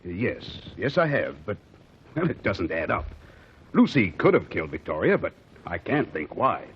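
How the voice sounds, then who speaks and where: A man's voice: low voice, big pitch swings, normal speed, breathy edge, full character voice. An actor playing an earnest male theater producer who speaks persuasively, in 1940s radio acting. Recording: dull old radio broadcast, faint hiss.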